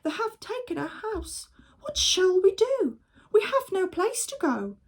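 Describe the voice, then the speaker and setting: Woman voicing an excitable, high-pitched storybook mother. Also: a little slow, full character voice. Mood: sobbing, distressed. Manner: exclaiming, fluttery. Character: excitable, tearful mother turned tiny bird-like.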